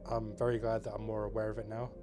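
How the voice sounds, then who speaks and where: A man's voice: lowish voice, flat delivery, fast talker. A man, a local resident, speaking steadily in a short street interview. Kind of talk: vox pop interview. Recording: music behind the voice.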